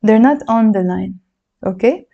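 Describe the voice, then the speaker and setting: A native Arabic-speaking woman with a Lebanese accent talks a class through writing letters. Her voice is mellow and soft, set at an ordinary middle pitch. She chats along, encouraging and counting strokes as she goes. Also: unhurried, gravelly, dull audio. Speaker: Arabic teacher. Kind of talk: handwriting lesson, demonstrating while talking.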